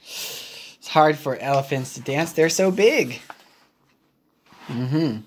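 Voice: mid-range voice, slow pace, breathy edge. An English teacher reading a picture book aloud with playful murmured asides and small interjections. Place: indoors at a wooden table.

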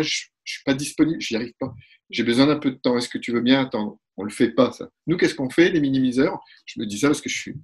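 A husky, mid-pitched man in his forties talks through his ideas on a video call from a room at home. He speaks animatedly and acts out little back-and-forth exchanges. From Italy. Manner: animated, gestures a lot, acts out quick exchanges. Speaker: couples therapist. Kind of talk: explanatory webinar talk. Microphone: webcam on a video call.

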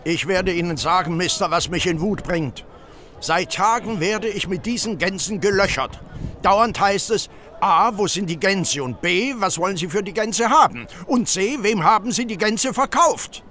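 A man acting a surly, irritable London poultry dealer in an audio drama, gruff and combative. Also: sits high, moderate pace, pushed and bright, slight background noise. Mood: irritated.

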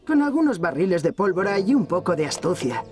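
A man's voice: sits high, sing-song, fairly quick, darkish tone. An actor playing an eager, clever young man in a dubbed cartoon, speaking earnestly. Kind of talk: Spanish cartoon dub. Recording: cartoon with music behind.

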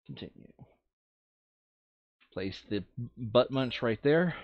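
A man's voice: mid-range voice, lively intonation, takes it slowly, muffled recording. A man running a board game playthrough in casual, crude, joking chat, now and then reading game text aloud. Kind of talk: casual gaming chat with bits of read-aloud flavour text.

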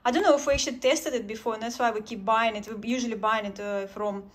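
A woman's voice: fairly high pitch, steady tone, quick pace. A woman vlogger chatting casually through a grocery haul at home, hesitant, with many ums and self-corrections. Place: home, indoors at a table.

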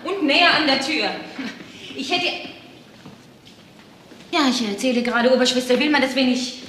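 A woman's voice: high voice, normal speed, fairly bright tone. A woman playing a shaken young nurse in a crime radio play, agitated and repeating herself. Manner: agitated, repetitive. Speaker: actor. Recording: echoey room with faint noise.

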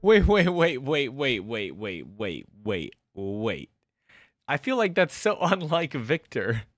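A man's voice: medium pitch, sing-song, slow, dry recording. A man in his thirties giving casual reaction commentary as a video host, close on a desk microphone. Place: indoor room. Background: game music.

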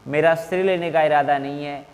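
A man in his thirties with a fairly high voice speaks as a coaching teacher addressing exam candidates. His delivery is formal and measured. The room adds noticeable reverb to his voice. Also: fairly even intonation. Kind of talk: earnest appeal to camera for exam candidates. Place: small indoor classroom in front of whiteboard. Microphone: clip-on lapel mic. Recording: reverberant, hard-walled room.

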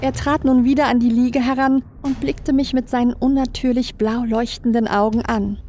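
A woman's voice: fairly high pitch, little pitch movement, moderate pace, warm tone, slight background noise. A woman reading scripted first-person horror narration as a creepypasta narrator. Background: background music.

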